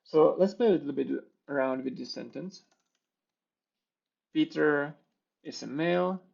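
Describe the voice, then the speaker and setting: A man who speaks natively. He explains a coding demo in a steady, step-by-step way and sometimes restarts a phrase. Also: on the high side, a little slow, gravelly, lo-fi sound. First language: Czech. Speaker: conference speaker. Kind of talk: live-coding tech talk.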